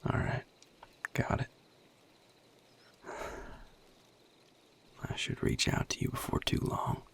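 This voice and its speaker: A man playing a guarded, world-weary vampire in an intimate audio roleplay, with a Southern US accent and a breathy, gravelly drawl, speaking in measured, hushed phrases. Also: a little hiss or hum, close to an everyday voice. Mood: calm, wary. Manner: measured, hushed, plain-spoken with deliberate pauses. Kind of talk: intimate audio roleplay acting addressed to the listener.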